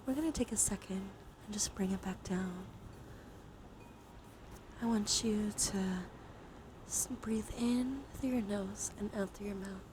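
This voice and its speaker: A woman doing live ASMR in a soothing, intimate, encouraging way, drifting between breathy whispers and soft speech. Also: medium pitch, unhurried, long pauses. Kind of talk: ASMR, whispered and softly spoken reassurance. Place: small warm room with computers running. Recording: noisy room ambience behind voice.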